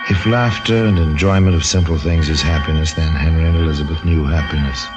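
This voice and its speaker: Very deep-voiced man, wry radio narrator. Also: fairly even intonation, highs cut off, over music. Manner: wry, deliberate, macabre relish. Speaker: narrator and host. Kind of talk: old-time radio mystery narration.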